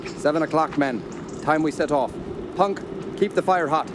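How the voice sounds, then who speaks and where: An actor playing a steady older doctor who leads a search party in a radio play, brisk, fatherly and authoritative. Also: on the high side, close to an everyday voice. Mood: firm, commanding. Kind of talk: radio drama acting. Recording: outdoor ambience noise behind voice.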